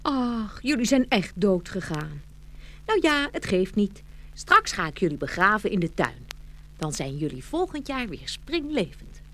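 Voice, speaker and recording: A woman's voice: medium pitch, big pitch swings, unhurried, fairly bright tone, slight background noise. A woman playing an eager, caring little girl with a childlike manner in a children's fairy-tale audio play. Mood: sad, accepting. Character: lively, caring little girl, curious and bossy.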